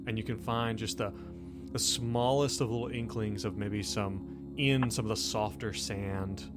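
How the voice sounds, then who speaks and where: A man running a tabletop roleplaying game, improvising scene narration in a conversational, halting way with frequent rephrasing. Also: lowish voice, steady tone. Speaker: game master. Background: background music.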